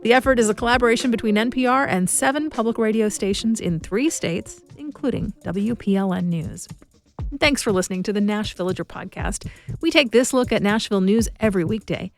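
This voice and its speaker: A woman's voice: medium pitch, a little fast, soft background music. A woman reading scripted news as the host of a podcast.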